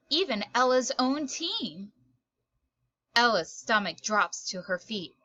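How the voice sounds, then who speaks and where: A woman storyteller reading a children's picture book aloud in expressive narration, with dramatic, deliberate phrasing. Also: a little high, no room sound, muffled recording.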